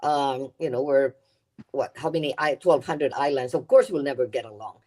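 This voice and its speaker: A woman's voice: a bass voice, moderate pace, mellow. A middle-aged Filipina woman with a Filipino accent, speaking earnestly as a podcast guest and often repeating words as she builds her point. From Philippines. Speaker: guest, nonprofit CEO. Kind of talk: podcast interview.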